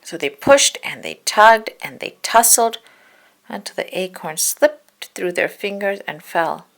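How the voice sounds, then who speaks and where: A woman's voice: mid-range voice, lively intonation, unhurried, creaky, a bit bright. A woman narrating a children's story as a read-aloud. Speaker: storybook reader.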